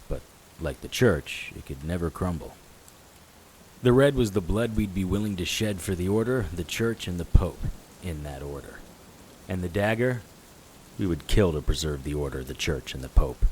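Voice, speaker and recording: An actor playing a hard-boiled investigator, narrating a noir audio drama in a dry, wry, tough and vengeful way. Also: male voice, low voice, darkish tone. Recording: hiss with rain sounds behind. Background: rain.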